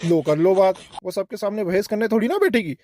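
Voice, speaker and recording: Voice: medium pitch, expressive, fairly quick, mellow, dry recording, close to an everyday voice. A performer voicing a genial older father-in-law in a cartoon comedy, placating and drily witty.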